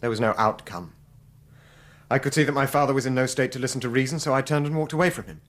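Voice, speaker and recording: An actor playing a distressed, defensive young man testifying in a radio drama, earnest, emotional and halting. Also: medium pitch, a bit bright, close to an everyday voice. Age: young adult.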